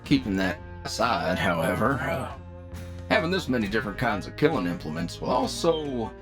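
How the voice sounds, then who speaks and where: A man's voice: lowish voice, very animated, moderate pace. A man playing a wry, talkative bounty hunter team leader in an anime-style audio roleplay, with a casual, folksy manner. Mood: explaining. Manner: casual, folksy, hesitant asides. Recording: music behind the voice.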